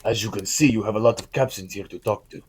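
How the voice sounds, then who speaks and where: A man voicing a bold, forceful army captain in an audio drama, with a husky voice. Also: lowish voice, fast talker. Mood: commanding. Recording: dry close recording.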